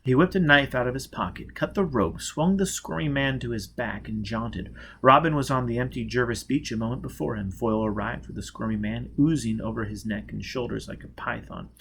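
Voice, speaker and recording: A man's voice: mid-range voice, brisk, vocal fry, fairly bright tone, a little hiss or hum. A man reading a novel aloud as dramatic scripted narration. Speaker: audiobook reader.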